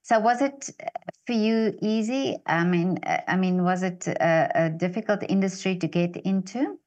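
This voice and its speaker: A woman hosting an interview, asking questions in a halting way with many fillers and restarts. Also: medium pitch, darkish tone, lo-fi sound. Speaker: interview host. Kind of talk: casual interview chat.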